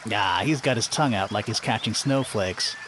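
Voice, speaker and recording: A man playing a quippy, sarcastic detective with a wry, expressive delivery in a mystery adventure game. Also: on the low side, normal speed, background noise, close to an everyday voice. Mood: playful, wry. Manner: wry, dryly joking, expressive. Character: quippy, sarcastic male detective, curious and easily baffled.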